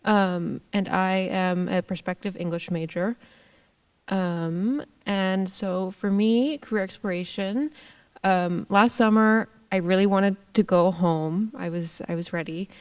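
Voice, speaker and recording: A woman's voice: mid-range voice, unhurried, a little mellow, clear, resonant tone. A young woman from Hawaii, a sophomore, introducing herself on a panel in a chatty, storytelling way. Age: young adult. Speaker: student panelist.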